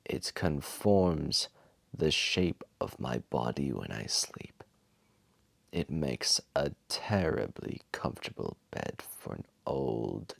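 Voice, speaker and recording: A man voicing an ancient, wise and gentle old dragon in a gravelly, breathy voice, telling a bedtime story slowly and soothingly. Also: very deep voice, no room sound, full character voice. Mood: amused, scornful. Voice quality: gravelly, breathy, often whispered. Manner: slow, solemn, soothing, deliberate. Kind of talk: slow bedtime storytelling, ASMR.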